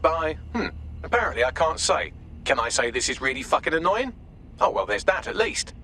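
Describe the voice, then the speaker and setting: A sardonic chatty ai helper for a game, highish bright voice, bit of noise behind. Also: male voice, big pitch swings, fairly quick, creaky, heavily acted. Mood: exasperated. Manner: wry, sardonic, verbose. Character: wry, chatty male AI assistant, dry and sardonic. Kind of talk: video game voice acting.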